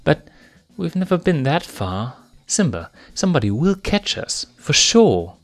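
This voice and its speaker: A man's voice: a little high, big pitch swings, faint music behind, pitched above the performer's own voice. A man voicing a playful young lioness cub girl with a teasing, bouncy manner in a fanfiction reading. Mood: alarmed. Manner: teasing, bouncy, quick to tease.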